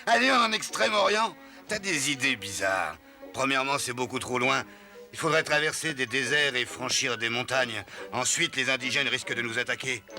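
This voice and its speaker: A man's voice: medium pitch, normal speed, pushed and bright. A man voicing a hearty, experienced merchant sea captain in a French cartoon, speaking expressively. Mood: incredulous. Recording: music behind the voice.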